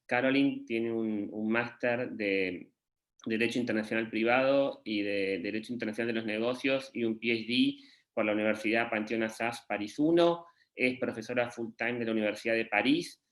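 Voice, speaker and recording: A man's voice: mid-range voice, normal speed. Male moderator, formal introductions, online lecture event. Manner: formal, reading a guest's credentials. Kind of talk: introducing speakers.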